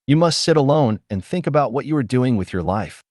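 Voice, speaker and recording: A man reading a self-help audiobook as scripted narration, measured and instructive, recorded close in a dry space. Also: mid-range voice, expressive, darkish tone, no room sound.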